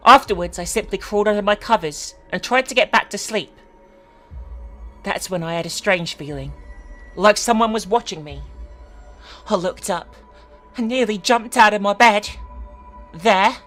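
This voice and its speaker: A woman's voice: mid-range voice, fairly bright tone, pitched above the performer's own voice, close to an everyday voice. A woman voicing a frightened young boy giving an earnest, suspenseful account in a dramatic horror reading. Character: frightened young boy recounting a night attack. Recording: music behind the voice.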